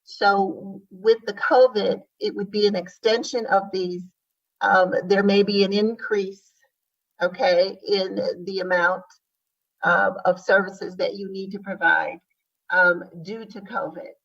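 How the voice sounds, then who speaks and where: A woman speaks slowly as an official at a public hearing. Her explanations are formal and halting, and she sounds muffled, some way from the microphone. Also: mid-range voice, fairly even intonation, a bit bright. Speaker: city grants official answering questions. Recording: muffled audio, distant microphone.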